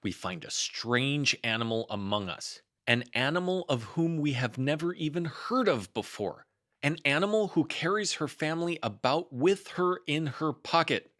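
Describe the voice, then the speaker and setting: A man's voice: a little high, lively intonation, normal speed, fairly bright tone, voice pushed up. A man voicing a bossy, self-important storybook rabbit with an officious, lecturing manner. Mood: indignant.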